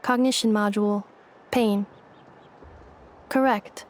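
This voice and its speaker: A woman voicing an emotionless, literal robot girl in a video game, speaking in clipped, deadpan fragments. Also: mid-range voice, slow, close to an everyday voice. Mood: blank, halting.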